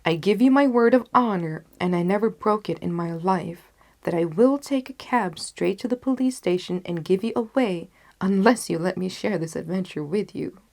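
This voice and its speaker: A woman reading the part of a loyal, stubborn gentleman companion in a storybook, earnest and exclamatory. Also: on the low side, normal speed, gravelly.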